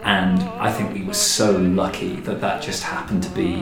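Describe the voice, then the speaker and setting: A man's voice: sits low, little pitch movement, moderate pace, vocal fry, music in the background. A man in a conversational podcast discussion, talking in a reflective, explanatory way. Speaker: podcast guest or host discussing a production. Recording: roomy, echoey sound.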